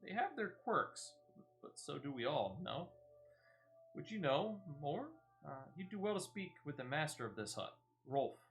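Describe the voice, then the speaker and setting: A courteous fantasy officer who speaks in a formal, measured way and often turns lines into polite questions, as a man reads the part. The delivery is even and composed. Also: fairly high pitch, soft background music, full character voice.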